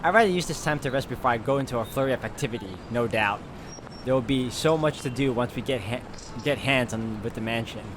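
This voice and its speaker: A man hosting a game walkthrough, reading story narration aloud in an expressive, conversational way with casual asides. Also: medium pitch, fast talker, noisy background. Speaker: video game walkthrough host.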